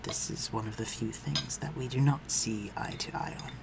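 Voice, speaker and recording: A man playing an aristocratic vampire master in a scripted audio roleplay, speaking formally and with measured, condescending concern for the listener. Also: mid-range voice, steady tone, creaky, heavy background noise. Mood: civil, aloof. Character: aristocratic male vampire master, civil, protective, coolly superior.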